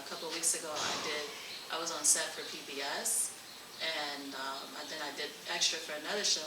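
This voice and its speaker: A young woman from Liberia, a guest actor, talking in a hesitant way with many fillers. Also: sits low. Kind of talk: casual interview. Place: studio set of a local show. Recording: echoey room from far off with loud background noise.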